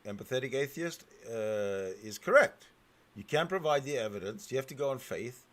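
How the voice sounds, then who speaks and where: A man hosting a talk show, commenting on a clip and jumping in with emphatic, sometimes halting remarks. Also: mid-range voice. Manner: animated, emphatic, swings loud and soft. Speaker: show host. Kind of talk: commenting over a played clip.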